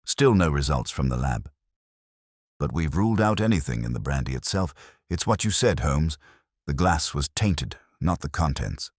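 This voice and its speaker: A man's voice: extremely low pitch, animated, moderate pace, no echo, clean studio sound. A man voicing a businesslike, impatient male police inspector, reporting matter-of-factly in an audiobook mystery. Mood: frustrated.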